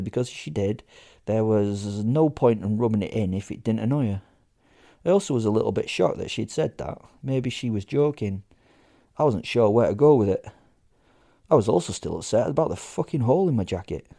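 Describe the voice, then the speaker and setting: A man's voice: medium pitch, animated, fairly quick, creaky, mellow. A man narrating a first-person horror comedy in character, conversational and sweary, with a sardonic, theatrically indignant manner.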